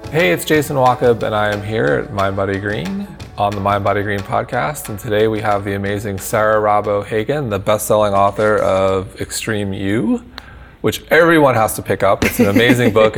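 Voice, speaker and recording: A man's voice: lowish voice, brisk, gravelly, soft background music. A man hosting an interview podcast, enthusiastic and full of praise for his guest. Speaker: podcast host.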